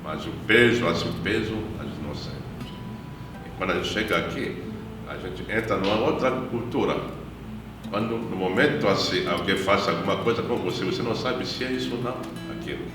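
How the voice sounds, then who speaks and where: Deep-voiced priest in an echoey room. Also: male voice, background noise. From Democratic Republic of the Congo. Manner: measured, reflective, unhurried storytelling. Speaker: missionary priest. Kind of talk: personal testimony in an interview. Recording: echoey room, distant mic, guitar music behind.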